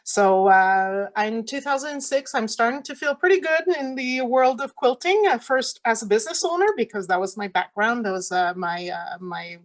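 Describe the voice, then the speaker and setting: A woman's voice: on the high side, normal speed, hardly any pauses. French-speaking woman giving a casual talk. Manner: casual, many fillers and restarts. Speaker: quilt shop owner giving a talk. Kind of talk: presentation over slides. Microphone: computer mic on a video call.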